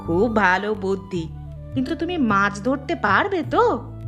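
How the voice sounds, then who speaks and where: Loving, playful village wife for animation, expressive melodic delivery, faint music behind. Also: female voice, medium pitch, a little slow. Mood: affectionate, teasing. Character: affectionate, gently teasing village wife. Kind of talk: cartoon dialogue acting.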